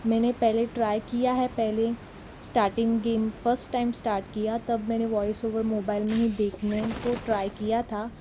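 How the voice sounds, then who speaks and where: A woman's voice: a little high, warm tone, slight background noise, low-quality recording. Chatty Marathi woman with Mumbai Hindi. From Maharashtra, India. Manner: chatty, self-deprecating, mixes Hindi and English. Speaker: mobile gaming YouTuber. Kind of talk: casual voice-over commentary on her gameplay. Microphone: phone mic.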